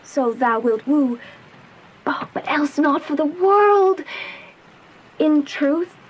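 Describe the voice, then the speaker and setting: An actress playing a young noble maiden in Shakespearean verse, lovestruck and anxious, with an earnest, measured delivery. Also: female voice, sits high, lively intonation, poor audio. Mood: bashful pleading. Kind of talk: slow dramatic verse acting.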